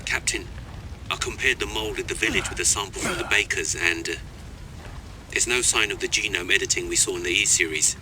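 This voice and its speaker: An actor playing a loyal, analytical military squad operative in a video game, reporting in a matter-of-fact way. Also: male voice, low-pitched, brisk, noisy background, close to an everyday voice.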